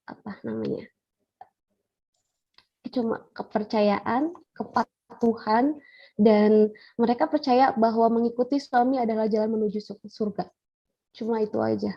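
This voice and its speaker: A woman's voice: fairly high pitch, fairly even intonation, measured pace, pauses often, darkish tone, lo-fi sound. A woman with an Indonesian accent, a researcher answering questions at a conference, hesitant and searching for her words. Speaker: researcher presenting her study.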